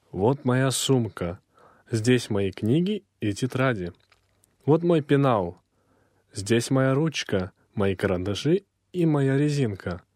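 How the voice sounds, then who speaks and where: A man reading a textbook language lesson aloud, slowly and with careful, clear enunciation. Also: medium pitch, a little mellow, no room sound, studio quality. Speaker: language course reader.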